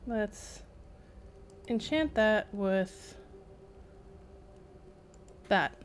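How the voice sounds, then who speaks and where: A woman's voice: mid-range voice, animated, drawn-out words, a little hiss or hum. A woman casually commentating a game live, thinking aloud in short fragments. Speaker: game streamer. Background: faint game music.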